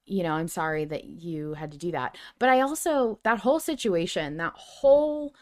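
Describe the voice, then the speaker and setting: A woman's voice: on the low side, lively intonation, normal speed. A woman co-hosting a podcast in casual discussion, talking through her point conversationally with hedges. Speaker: podcast co-host.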